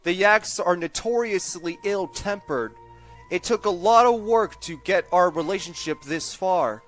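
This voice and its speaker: A man's voice: high-pitched, unhurried, a bit bright, faint music behind. A man voicing an earnest, uptight young male pony prince who talks stiffly and anxiously, in a fan audio drama. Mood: stern.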